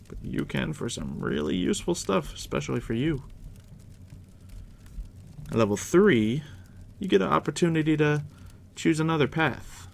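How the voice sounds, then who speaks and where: A man softly near-whispering in an ASMR roleplay as a game master, casual and unhurried with a dry, teasing manner. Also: creaky. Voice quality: deep, hushed near-whisper. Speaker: ASMR roleplay host, playing a dungeon master guiding a new player. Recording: steady background noise, crackling fire ambience. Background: fire crackle.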